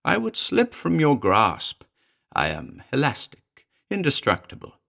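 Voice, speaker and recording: A man voicing a small, malign male demon familiar who speaks in a shrill, mocking, leering way. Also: wildly swooping pitch, a little slow, creaky, fairly bright tone, exaggerated voice. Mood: gloating.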